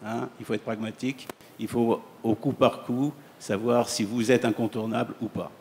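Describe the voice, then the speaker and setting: A man speaking on a panel in a measured way, pausing with fillers. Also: mid-range voice, little pitch movement, creaky, a little mellow. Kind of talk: panel discussion. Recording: long hall reverb with faint noise.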